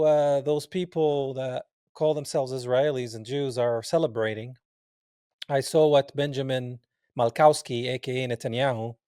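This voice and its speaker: A man's voice: on the high side, no room sound, clean studio sound. Man telling stories animatedly. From Palestine. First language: Arabic. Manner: animated, acts out quoted dialogue, laughs often. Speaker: guest. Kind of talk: casual interview storytelling.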